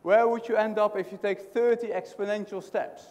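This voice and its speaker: A man's voice: sits high, fairly even intonation, normal speed, a little mellow. Dutch-accented man speaking in a hall. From Netherlands. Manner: conversational, restarts often, asks the audience questions. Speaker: conference speaker. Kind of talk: keynote talk. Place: large hotel conference hall. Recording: hall reverb.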